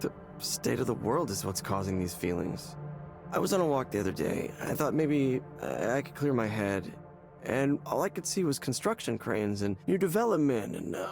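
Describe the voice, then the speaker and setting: Hollow despairing man, creaky voice, hesitant, halting, naturalistic game drama, faint music behind. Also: mid-range voice, sing-song, a little mellow. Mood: anguished, bitter. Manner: hesitant, halting, repeating words as he searches. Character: despairing, hollow man confiding in a counseling session. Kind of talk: naturalistic visual novel game acting.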